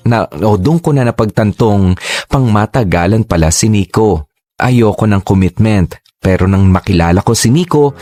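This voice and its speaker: A man's voice: lowish voice, a little mellow. A man narrating his own love story in a chatty, confiding first-person tone. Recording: faint music underneath.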